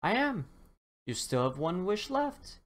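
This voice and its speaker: A woman voicing a small, brisk, impatient male leprechaun in a children's storybook, with a knowing manner. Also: lowish voice, very animated, pitched above the performer's own voice. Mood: urgent.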